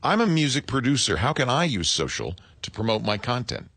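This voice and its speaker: A man's voice: medium pitch, very animated, a little fast, fairly bright tone, breathy edge, no echo. A man reading listeners' written questions aloud.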